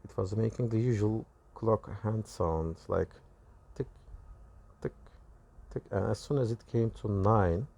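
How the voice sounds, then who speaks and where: A man with a Turkish accent and Turkish as his first language gives a personal testimony, recounting visions in halting, self-correcting phrases. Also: on the low side, vocal fry, a little mellow. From Turkey. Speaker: religious video creator.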